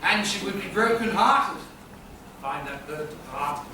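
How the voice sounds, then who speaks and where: A man's voice: sits high, animated, a little slow, background noise. A man playing a scheming, cowardly young hustler under his mother's thumb, talking theatrically. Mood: fretful. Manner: theatrical, fretful, sings comic patter. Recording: echoey room from far off, music.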